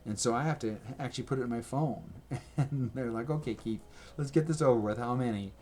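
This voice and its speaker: A man's voice: medium pitch, brisk, a little mellow, a little hiss or hum. A man talking casually to his camera at home, rambling and correcting himself as he shows things. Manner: rambling, self-correcting, chatty. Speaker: video creator. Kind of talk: casual rambling vlog talk. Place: indoors at home. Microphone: camera mic.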